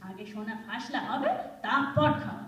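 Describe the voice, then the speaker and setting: Echoey, high-pitched nagging Bengali village wife, played by a woman. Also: normal speed, a bit bright, lightly acted. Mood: pouting, indignant. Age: middle-aged. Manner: pouting, wheedling, quarrelsome. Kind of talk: Bengali stage audio drama acting. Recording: muffled, echoey hall, distant microphone.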